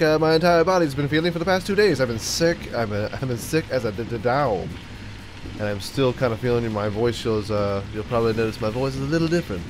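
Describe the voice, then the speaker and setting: A young man with a clogged, stuffed-up voice talks through a game he's playing. He's goofy and loose, cracks jokes and breaks into little sung riffs. Faint game music plays softly behind him. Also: medium pitch. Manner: goofy, jokey, sings and riffs to himself. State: stuffed up from a cold. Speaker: gaming YouTuber. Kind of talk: playful let's-play commentary.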